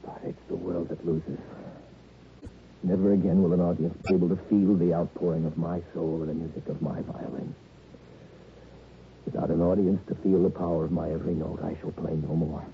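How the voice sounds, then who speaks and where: An actor playing a temperamental, vain young violinist who has killed, impassioned and self-pitying in an old radio drama. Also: male voice, low voice, level tone, a little fast, gravelly. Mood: self-pitying, anguished. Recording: tinny old radio broadcast, faint noise.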